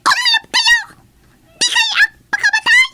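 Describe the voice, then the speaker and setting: A woman voicing an excitable, high-voiced underling of a fairy kingdom in a comedy radio drama. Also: a little slow, heavy background noise. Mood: frantic, pleading.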